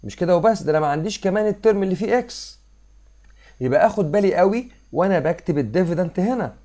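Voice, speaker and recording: A man with an Egyptian Arabic accent, a little hoarse from a cold, talks quickly through a math lesson. His voice is mellow and dark, and he explains each step while mixing English math terms into his Arabic. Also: high-pitched, lively intonation. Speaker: math teacher. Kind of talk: screen-recorded math lesson. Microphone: voice-over on a screen recording.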